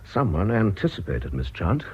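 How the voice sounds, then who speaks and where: Suave sardonic sleuth, husky voice, wry, radio drama, dull recording. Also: male voice, deep voice, big pitch swings, moderate pace, exaggerated voice. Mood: shrewd. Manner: wry, articulate, needling. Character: suave, sardonic amateur sleuth, clever and goading. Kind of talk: British radio drama acting.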